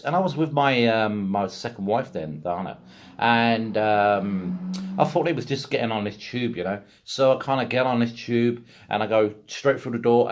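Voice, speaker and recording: A middle-aged British man telling rambling personal stories casually, in a blunt, emphatic way with plenty of swearing. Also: medium pitch. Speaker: video blogger.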